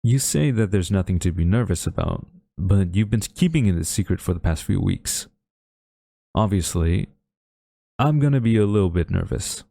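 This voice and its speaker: Deep-voiced, grumbling tsundere college guy played by a man. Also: animated, vocal fry, mellow, dry recording, exaggerated voice. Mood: hesitant, nervous. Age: young adult. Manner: flustered, grumbling, halting denials. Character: tsundere young male university student rival, defensive, secretly smitten. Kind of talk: romantic audio roleplay acting.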